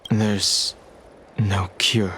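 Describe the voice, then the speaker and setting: A man's voice: on the low side, very slow. An actor playing a reserved, terse and stoic young man in a video game. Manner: flat, terse.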